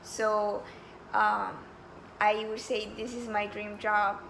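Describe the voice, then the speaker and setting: A woman's voice: medium pitch, little pitch movement, slow pace, gravelly, a bit bright, a little hiss or hum, low-quality recording. A woman in her twenties from Colombia, answering interview questions in an eager, talkative way full of fillers. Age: 20s. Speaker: job candidate. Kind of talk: mock job interview.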